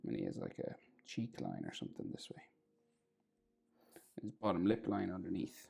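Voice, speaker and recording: A man with a sore throat and a crackly, gravelly voice, talking casually through a drawing tutorial, often trailing off to a near whisper. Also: deep voice, wildly swooping pitch, normal speed, a little mellow, faint music behind.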